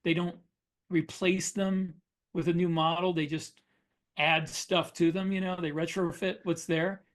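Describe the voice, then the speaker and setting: Man, slightly high voice, casual podcast co-host, matter-of-fact explainer. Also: flat delivery, a little slow. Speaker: podcast co-host, science fiction author. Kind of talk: casual chat about writing craft.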